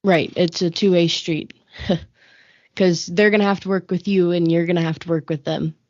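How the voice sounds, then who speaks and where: Low-voiced woman interviewer. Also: fairly even intonation, normal speed, darkish tone, no echo, dull audio. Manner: affirming, brief acknowledgements. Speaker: interviewer, host. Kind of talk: conversational interview.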